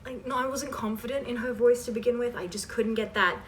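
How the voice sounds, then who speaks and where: A woman's voice: on the high side, a little fast. Girl doing a casual q&a, rambly and self deprecating, kinda far from the mic w faint noise. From Canada. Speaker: host of a fan voice-acting channel. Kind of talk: casual question-and-answer chat.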